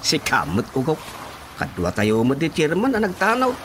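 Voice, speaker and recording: A man's voice: fairly high pitch, huge pitch swings, normal speed, a little hiss or hum. A man with an Ilocano accent voicing a deferential village watchman in a radio drama. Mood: eager. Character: village watchman reporting to chairman. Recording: radio drama with loud music behind.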